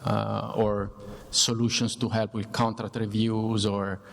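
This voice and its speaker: A man's voice: on the low side, flat delivery, slow pace, vocal fry. A man with an Italian accent speaking as an executive on a semi-formal discussion panel. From Italy. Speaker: panelist, chief information officer. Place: event room before an audience. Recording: reverberant hall, faint background noise.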